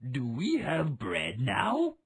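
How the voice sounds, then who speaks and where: A man voicing a kindly old fisherman in a storybook, speaking in a gentle, slightly raised voice. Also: mid-range voice, huge pitch swings, takes it slowly, vocal fry, breathy edge, voice pushed up, exaggerated voice. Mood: mild.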